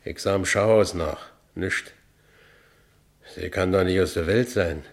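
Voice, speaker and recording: Low-voiced, broken Berlin working man. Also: measured pace, gravelly, exaggerated voice. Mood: troubled, bewildered. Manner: terse, blunt short phrases. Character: Franz Biberkopf, broken, stubborn working-class Berlin man. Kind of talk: first-person radio play narration and acting.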